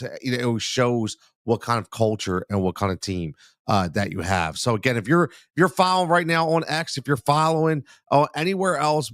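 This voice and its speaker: A man's voice: mid-range voice, no room sound, studio quality. A man with a cold hosting a live sports talk show, rambling and repeating himself, calling listeners man. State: congested from a cold.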